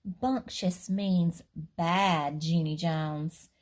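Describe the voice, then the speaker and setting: A woman's voice: fairly low pitch, steady tone, extremely slow, a little mellow. A woman voicing a bossy little girl classmate who taunts in a singsong way. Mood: taunting.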